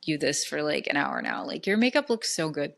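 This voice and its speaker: Woman, 30s, deep-set voice, casual podcast co-host, loose and rambly. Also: expressive, a little fast, vocal fry, a bit bright. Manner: chatty, rambling with many fillers. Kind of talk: casual chat.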